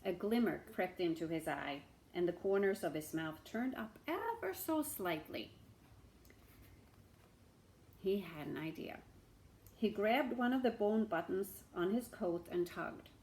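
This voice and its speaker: Woman, storyteller, story read-aloud, lively intonation, unhurried pace, dramatic pauses. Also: medium pitch. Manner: dramatic, with pauses and asides to show pictures. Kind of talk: expressive children's story reading.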